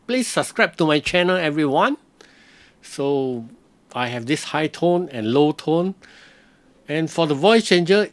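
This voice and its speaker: Man, software reviewer, slightly high voice, tutorial narration, halting stop-start phrasing. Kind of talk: screen-recorded tutorial narration.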